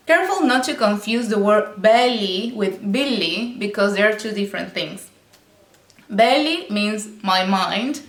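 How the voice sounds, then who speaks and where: A woman's voice: medium pitch, reverberant. A woman teaching Arabic by explaining song lyrics line by line, patient and explanatory, often checking in with "okay?".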